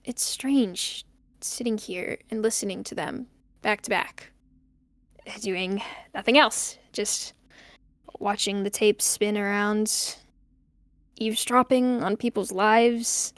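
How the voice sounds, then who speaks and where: A woman's voice: mid-range voice, no room sound, lightly acted. A young woman playing a lonely, bookish student recording a hesitant, reflective audio diary for an audio drama. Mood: pensive, uneasy. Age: young adult. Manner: halting, reflective, trailing off. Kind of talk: intimate audio diary monologue.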